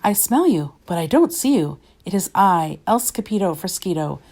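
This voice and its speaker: A woman voicing an excitable, boastful boy kitten in a children's storybook, with a sing-song mock Spanish accent. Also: lowish voice, normal speed. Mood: excited. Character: excitable boy Siamese kitten pretending to be Chihuahua.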